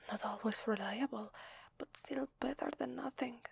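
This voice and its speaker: A woman with an Italian accent playing a gentle, apologetic vampire in an ASMR roleplay, whispering softly and hesitantly close to the microphone. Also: a little high, normal speed, no echo, full character voice. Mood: hushed, explanatory. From Italy. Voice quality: soft breathy whisper. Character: gentle, apologetic female vampire confiding in a human. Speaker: ASMR voice actor. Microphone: close microphone, dry.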